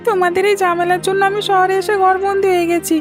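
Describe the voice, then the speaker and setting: A woman's voice: extremely high pitch, level tone, fast, faint music behind. A woman voicing a whiny, stubborn little schoolboy in a cartoon, petulant and pleading. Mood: sulky, stubborn. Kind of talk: Bengali cartoon story acting.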